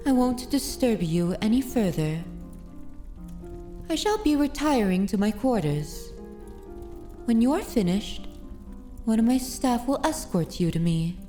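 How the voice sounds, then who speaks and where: A woman's voice: fairly high pitch, expressive, mellow, faint music behind. A woman voicing a centuries-old noble vampire mistress in an ASMR roleplay, speaking formally with courtly, commanding and wistful poise. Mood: courteous. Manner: formal, unhurried, aristocratic phrasing. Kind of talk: ASMR roleplay audio drama.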